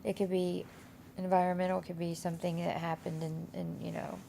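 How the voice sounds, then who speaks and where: A woman teacher with a cold talks through her course materials in a low, flat, gravelly voice with a stuffy nose. Her tone is dark and mellow, and she rambles with plenty of ums and restarts. A faint hiss of noise sits under the recording. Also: brisk. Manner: rambling with many fillers and restarts. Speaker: instructor. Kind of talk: casual screen-share course walkthrough.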